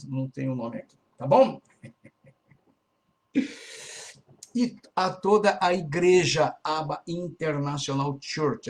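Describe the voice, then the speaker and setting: A man hosting a church radio broadcast, greeting listeners and making announcements in a casual, chatty way, often tagging phrases with a questioning "né?". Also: fairly high pitch. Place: Brazilian church community in Florida.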